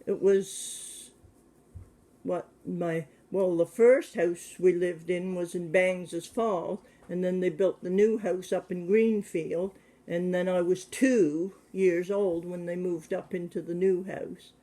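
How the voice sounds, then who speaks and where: A man in his seventies, answering questions about his childhood in a plain, hesitant way with many pauses. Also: high voice, level tone, mellow. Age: 70s. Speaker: interviewee. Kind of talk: casual recollection.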